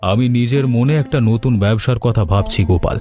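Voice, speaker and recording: A man voicing an old village banana farmer in an animated story, speaking earnestly and plaintively with melodrama. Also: medium pitch, a little fast, soft background music, natural voice. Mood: earnest, planning. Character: distressed old male village banana farmer, lamenting but resolute. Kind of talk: Bengali animated story dialogue.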